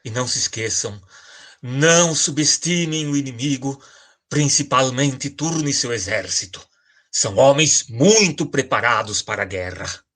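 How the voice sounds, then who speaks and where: A man playing a proud, worried old warrior king in an audio drama, solemn and dramatic with a husky voice. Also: mid-range voice, animated, normal speed, fairly bright tone.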